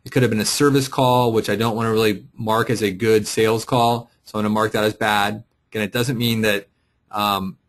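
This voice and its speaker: A man presenting a casual, unscripted software tutorial, explaining and thinking aloud as he clicks through. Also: fairly low pitch. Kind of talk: casual screen-share walkthrough, unscripted. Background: voice-over for a screen recording.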